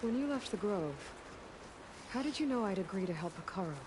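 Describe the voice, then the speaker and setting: A woman's voice: mid-range voice, animated, a little fast, noisy background, natural voice. An actress playing a capable young female adventurer in a video game, direct and inquisitive with a dry manner. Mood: curious, direct. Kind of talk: naturalistic video game character acting.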